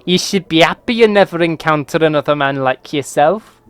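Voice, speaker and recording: A man's voice: fairly high pitch, normal speed, a bit bright, clean tone, natural voice. A man voicing a scheming talking raven in a game, using a put-on Scottish and northern English accent. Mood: menacing.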